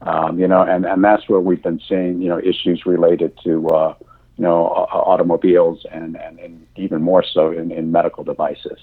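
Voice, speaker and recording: A deep-voiced man whose first language is German, talking slowly through his answers with a lot of ums and restarts. His voice is husky and comes through a thin, phone-like line. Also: flat delivery. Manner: halting, many fillers and restarts. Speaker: insurance industry guest. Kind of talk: podcast interview answers.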